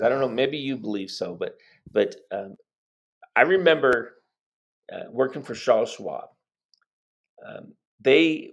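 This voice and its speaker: A man in his sixties from the United States, hosting a casual interview and telling long rambling stories with frequent restarts. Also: mid-range voice. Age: 60s. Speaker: host.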